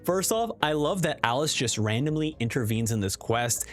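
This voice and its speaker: Male game reviewer, lively swooping intonation, casual and emphatic, faint music behind. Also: medium pitch, normal speed. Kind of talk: casual scripted video review.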